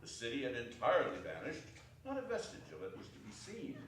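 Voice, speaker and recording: A man's voice: mid-range voice, brisk, a little hiss or hum. A man narrating a staged dramatic reading expressively, heard from far off in an echoey arts hall. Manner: expressive, storytelling. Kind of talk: theatrical narration. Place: small arts center stage.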